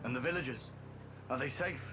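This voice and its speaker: An actor playing an earnest, worried man in a video game cutscene, speaking haltingly. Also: mid-range voice, music in the background, lightly acted, rough recording.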